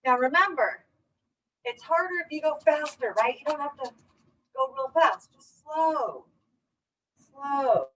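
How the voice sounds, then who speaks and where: A woman's voice: high voice, lively intonation, slow pace, long pauses, a bit bright, low-quality recording. A woman speaking as a children's teacher, reading a storybook aloud and giving simple, encouraging instructions with check-in questions.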